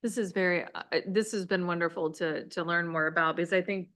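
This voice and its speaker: A woman's voice: lowish voice, steady tone, fast talker, fairly bright tone. A woman school board member at a meeting, speaking earnestly and appreciatively, with some restarts.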